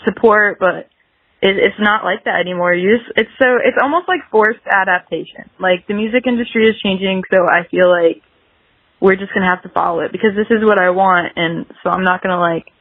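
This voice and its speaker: A woman's voice: mid-range voice, a little fast, no echo, phone-quality sound. A woman chatting casually as a musician guest in an interview, rambling and peppering her talk with "like". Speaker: guest musician, singer-songwriter.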